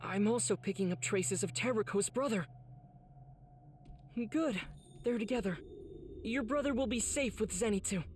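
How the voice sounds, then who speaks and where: A man's voice: high-pitched, normal speed, pauses often, echoey room, slight background noise, natural voice. An actor playing an earnest, protective teenage demon slayer boy in an anime game, speaking with resolve and reassurance. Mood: warm reassurance. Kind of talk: dubbed anime game acting.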